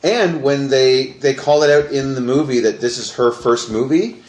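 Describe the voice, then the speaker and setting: A man's voice: medium pitch, moderate pace, slight background noise. A man in his forties from Canada, co-hosting a movie podcast, laughing often as he tells stories. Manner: laughs often, animated storytelling. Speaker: podcast co-host. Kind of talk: casual movie chat. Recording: roomy echo.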